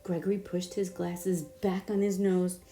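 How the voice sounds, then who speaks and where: A woman's voice: on the low side, flat delivery, unhurried, dark tone, faint music behind. A woman narrating a children's storybook aloud. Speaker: storybook reader.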